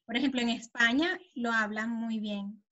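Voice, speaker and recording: A woman's voice: fairly high pitch, normal speed, sharp tone. A woman with a Venezuelan accent teaching an online Spanish lesson, explaining patiently and checking that the students follow. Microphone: computer mic on a video call. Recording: muffled video call audio.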